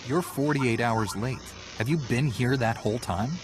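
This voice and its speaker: An actor playing a firm, self-assured Jedi knight who speaks formally and with command in a video game. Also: male voice, mid-range voice, moderate pace, background noise, natural voice. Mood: stern, probing.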